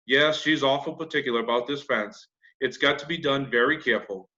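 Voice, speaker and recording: A man's voice: mid-range voice, a little fast, bright, forceful tone, lo-fi sound, lightly acted. A man reading a storybook, voicing a crafty young country boy with a folksy, casually indifferent manner. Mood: reluctant, sly. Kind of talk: children's classic storybook reading.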